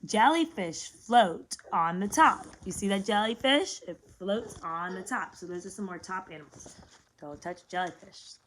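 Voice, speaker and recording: A woman's voice: mid-range voice, expressive, moderate pace. A woman reads aloud to young children in a teaching style. She breaks things down simply, checks in with questions and repeats key words. The recording has a light layer of noise and small clicks. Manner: simple explanations with questions and repetition, addressing children. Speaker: teacher and parent reading a picture book aloud.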